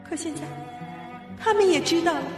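An actress in a radio drama playing a fragile wife, distraught and wracked with guilt. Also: female voice, very high voice, fairly quick. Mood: anguished, pleading. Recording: echoey reverberant hall, distant, loud music behind.

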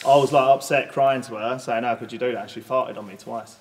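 A man's voice: medium pitch, fairly even intonation, a little fast, long reverb tail, a crowd in the background. A young man with a South London accent, a dating show contestant telling a rambling, jokey story. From South West London. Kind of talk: casual storytelling.

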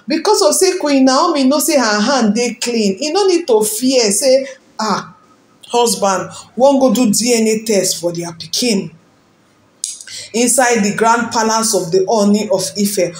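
A woman's voice: fairly high pitch, roomy sound. Dramatic Nigerian Pidgin gossip host woman. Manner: dramatic, rhetorical, addresses viewers as "my people". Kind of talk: celebrity gossip commentary.

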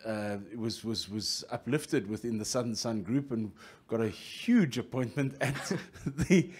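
A middle-aged man with a South African accent hosting a radio interview, jokey and lively, often restarting his sentences. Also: medium pitch, unhurried, slightly rough. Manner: jokey, lively, restarts a lot, plugs the station. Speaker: radio host.

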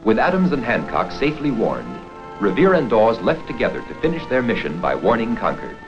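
A man reading scripted documentary narration for an educational history film in a formal, measured storytelling manner. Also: lowish voice, huge pitch swings. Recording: dull 16mm film soundtrack with music.

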